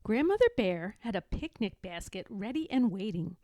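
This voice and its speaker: A woman narrating a children's story aloud in an expressive, gentle and deliberate way. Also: medium pitch, no room sound, studio quality. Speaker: storybook reader.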